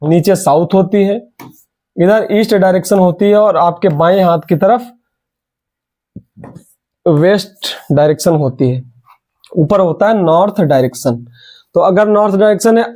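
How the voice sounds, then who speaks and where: A man's voice: sits high, normal speed, pauses often, mellow. A young man with a sore throat teaching an online exam reasoning class, urging students on and repeating key words.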